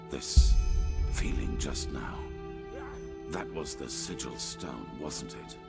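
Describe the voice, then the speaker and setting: An actor playing a grave, commanding older grandmaster in a fantasy game, speaking formally and solemnly. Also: male voice, slow, heavily acted. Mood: grave, intent. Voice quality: deep, gravelly. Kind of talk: cinematic fantasy game voice acting. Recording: long hall reverb, music behind.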